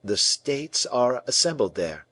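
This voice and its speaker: A man's voice: medium pitch, very animated, unhurried, bright, forceful tone, no room sound, voice pushed up, exaggerated voice. A man voicing a young French king who asks curt, imperious questions in a raised voice. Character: young king, commanding and guarded.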